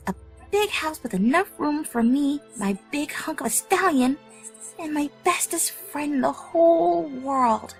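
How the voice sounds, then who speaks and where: Woman acting as excitable young pony girl, very high voice, swooping intonation, gushing, affectionate, music underneath. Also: unhurried. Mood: warm, delighted. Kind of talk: fan audio drama acting.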